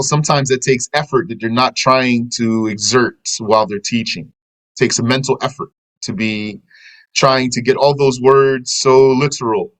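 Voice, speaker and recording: A man teaching a religious lesson at an everyday, middle pitch. He reads a line of a translated text and then explains it at length, slipping Arabic terms into his English. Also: rough recording.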